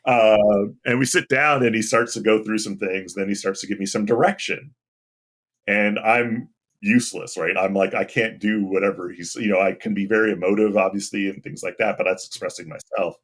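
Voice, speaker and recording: A man with a deep voice talking casually and anecdotally as an interview guest. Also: steady tone, normal speed, a bit bright. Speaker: podcast guest, game industry UX professional.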